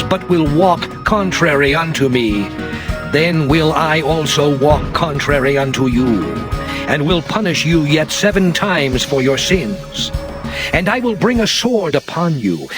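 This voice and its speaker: A man playing God as a stern, solemn deity in a dramatized King James scripture reading, declaiming in archaic diction. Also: on the high side. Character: God, stern male deity pronouncing curses and covenant. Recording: music behind the voice. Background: clear background music.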